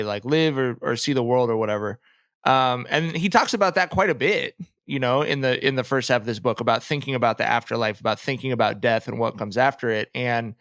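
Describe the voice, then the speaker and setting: A man co-hosting a podcast, talking casually and reflectively in a halting, thinking-aloud way. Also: medium pitch, fairly bright tone, smooth, dry recording, clean studio sound. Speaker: podcast co-host. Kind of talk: casual reflective book discussion.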